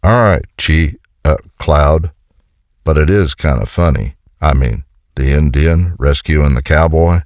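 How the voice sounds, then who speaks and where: Man playing a hardened war colonel, extremely deep, gruff, brusque, wisecracking, dry studio sound, audio comic. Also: measured pace, darkish tone, no echo. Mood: sardonic. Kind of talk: dramatic audio comic dialogue.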